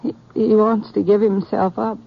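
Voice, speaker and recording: Female, American, fugitive's anxious wife, halting pleas, mid-pitched, muffled vintage radio western sound. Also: little pitch movement, creaky. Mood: anxious, pleading. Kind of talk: 1950s radio western acting. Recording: muffled old radio recording.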